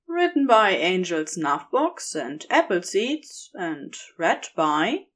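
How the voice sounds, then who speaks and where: A woman's voice: fairly low pitch, very animated, takes it slowly, a bit bright. A woman narrating romantic fiction from a script as a storyteller.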